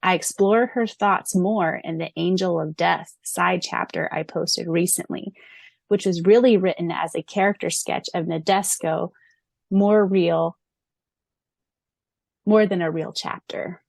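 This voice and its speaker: Expressive woman podcaster in a dry space. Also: lowish voice, measured pace, gravelly, dry recording. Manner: excitable, expressive. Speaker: podcast co-host. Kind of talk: casual fan podcast chat, reading questions and answers aloud.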